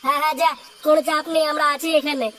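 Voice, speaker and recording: A woman's voice: high voice, flat delivery, very fast, fairly bright tone, a little hiss or hum. A woman voicing a bossy, foul-mouthed young male leader of a gang of village friends in a comic cartoon, brash and scolding. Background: music.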